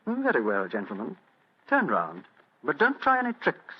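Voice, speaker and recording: Posh-mannered english butler villain, icily polite, husky, dull old radio sound. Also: male voice, mid-range voice, wildly swooping pitch, moderate pace. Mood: cautious, courteous. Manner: formal, elaborately polite menace. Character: coldly polite, smug English butler turned murderous thief. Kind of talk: 1940s radio mystery acting.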